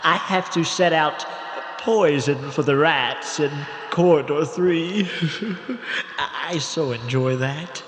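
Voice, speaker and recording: An actor playing a courteous, macabre old morgue attendant telling stories in a drawn-out, gleeful way, as a radio horror host. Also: male voice, a little high, fairly bright tone, slight background noise. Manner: courtly, drawn-out, chuckling delivery. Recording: echoey hall with long reverb.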